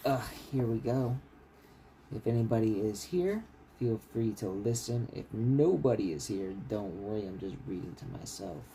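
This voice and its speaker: A man's voice: fairly low pitch, animated, normal speed, dark tone, a little hiss or hum. A man who reads his own fantasy writing aloud like a bedtime story on a casual livestream. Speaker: author reading own novel aloud.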